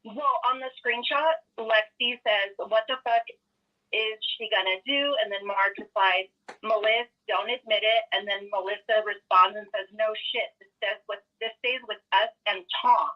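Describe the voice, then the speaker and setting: A woman's voice: mid-range voice, moderate pace. A woman caller speaks over a dull, lo-fi telephone line. Her talk is casual and gossipy, as an informal call-in to a radio show. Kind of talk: casual gossipy phone chat.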